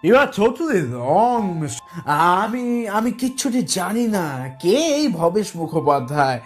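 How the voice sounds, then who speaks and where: A man playing a cornered British gentleman villain in an audio drama, speaking dramatically and haltingly, mixing English into Bengali. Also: sits high, sing-song, faint music behind, full character voice. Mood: defensive, agitated.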